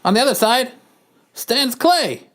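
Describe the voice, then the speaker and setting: A man's voice: extremely high pitch, expressive, unhurried, fairly bright tone. A man narrating stage directions aloud for an amateur comic reading on a podcast.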